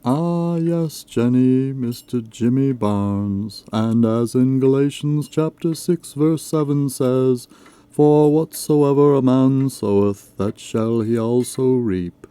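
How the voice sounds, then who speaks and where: A man playing a mild, wry, sermonizing father in an amateur radio drama, speaking in a measured, dryly moralizing way. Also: mid-range voice, dark tone, clean tone, no echo, natural voice. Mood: wry, teasing. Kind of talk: amateur old-time radio drama acting.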